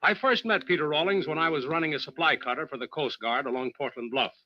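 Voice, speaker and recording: An actor playing a genial seafaring adventurer introducing radio tales with an American accent, in old-time host style. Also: male voice, a little high, fast talker, no echo, close to an everyday voice. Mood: genial, earnest. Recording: muffled old radio broadcast with faint music.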